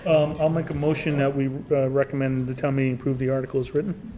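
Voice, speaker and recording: A man on a town committee speaking haltingly, repeating words as he goes. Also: mid-range voice, level tone, dark tone. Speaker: committee member. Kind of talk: meeting discussion. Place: town meeting room.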